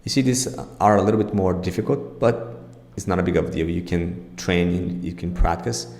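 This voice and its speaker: Male pronunciation teacher, deep and gravelly, reassuring, recorded in an echoey room. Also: moderate pace, darkish tone. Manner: encouraging, reassuring. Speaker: pronunciation teacher and show host. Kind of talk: informal pronunciation lesson. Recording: echoey room with long reverb.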